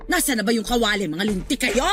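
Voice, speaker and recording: An actress playing a strict, hot-tempered middle-aged mother in a radio drama, blunt, excitable and quick to scold. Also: medium pitch, soft background music, natural voice. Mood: angry scolding.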